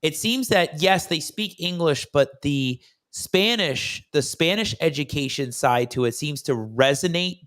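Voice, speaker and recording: A man hosts an interview show and puts questions to his guest. His voice sits a little higher than most men's. He pauses and searches for words as he asks. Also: lively intonation, fairly bright tone. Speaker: host.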